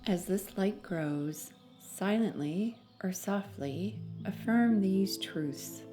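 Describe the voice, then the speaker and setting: A woman narrating a guided meditation in a soothing, measured manner with long pauses between phrases. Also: medium pitch, music in the background.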